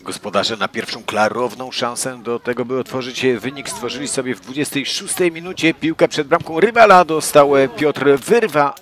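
A man giving a scripted voice-over of a youth football match, at a middle pitch. His delivery is even, in a steady reporting manner.

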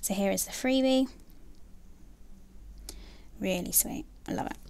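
A young woman chatting casually about planner stickers, soft and gushing, with many ums and likes. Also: medium pitch, a little slow, vocal fry. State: has a bad cold, nasal and throaty. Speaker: planner sticker haul vlogger. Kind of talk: casual haul chat. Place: indoors at a table, filming her hands.

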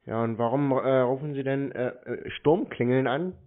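A man's voice: mid-range voice, moderate pace. A man on a phone call, answering tersely and challenging the caller with questions. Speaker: prank call recipient baiting telemarketers. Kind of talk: phone conversation. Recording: noisy, tinny phone-like sound.

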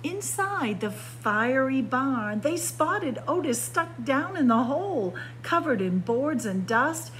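Female picture book narrator: unhurried, dramatic phrasing, recorded indoors with background noise. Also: very animated, a bit bright, poor audio. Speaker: library story time reader. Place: indoors by bookshelves. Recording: clear background noise with low hum.

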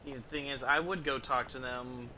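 A young man giving casual, halting gameplay commentary, muttering to himself as he plays. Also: mid-range voice, steady tone, pushed and bright. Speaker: game streamer. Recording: clear background noise, game ambience.

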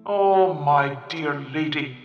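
A solemn young enchanted knight. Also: male voice, a little high, takes it slowly, dull audio. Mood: tender. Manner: soft, grave, formal old-fashioned speech. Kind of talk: storytelling. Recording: roomy, distant mic with music.

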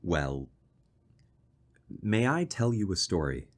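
A man playing a low-voiced, earnest fiancé. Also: sing-song, heavily acted. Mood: earnest, uneasy. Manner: polite, serious, measured. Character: earnest, worried young fiancé telling his strange story. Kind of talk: audio drama acting and narration.

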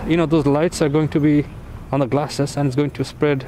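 Man, slightly high voice, relaxed walking-tour commentary, outdoor street, passing traffic noise. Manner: relaxed, musing, pauses between observations. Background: traffic and street ambience.

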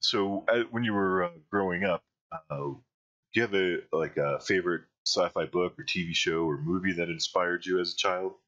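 A man's voice: deep voice, normal speed, a bit bright, highs cut off. A man hosting an interview, friendly and chuckling, with many fillers. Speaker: podcast host. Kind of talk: casual interview chat.

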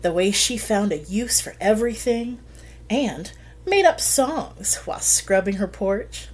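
Slow, expressive woman reading a storybook. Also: medium pitch, husky. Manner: deliberate, expressive storytelling delivery with drawn-out phrases. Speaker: host reading a children's picture book aloud. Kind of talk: expressive storybook read-aloud. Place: small plain room indoors.

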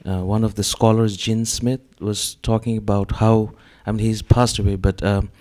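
Hesitant low-voiced man on a gallery panel. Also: flat delivery, moderate pace, a little mellow. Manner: hesitant, many fillers. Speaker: panel artist. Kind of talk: panel discussion. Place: gallery room with audience. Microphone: handheld mic.

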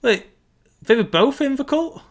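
A man, co-hosting a casual film chat over a video call with quick asides. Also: extremely high pitch, animated. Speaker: podcast co-host. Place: home room on a video call.

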